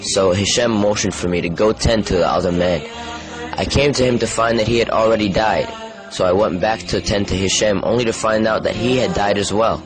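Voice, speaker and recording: A man's voice: fairly low pitch, a little fast, rough recording. A man preaching in an earnest, exhortative sermon style that mixes storytelling with direct appeals. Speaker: Islamic preacher. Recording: voice over background music. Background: music.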